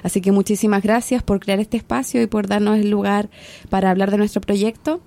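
A Chilean woman in her thirties speaks as a guest on a podcast. Her voice is mellow and low in brightness. She talks in an earnest, measured way. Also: level tone, creaky. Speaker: guest, researcher. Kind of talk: podcast interview.

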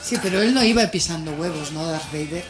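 A woman's voice: sits low, a little fast. A woman chatting casually as a panelist on a radio show. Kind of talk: casual chat.

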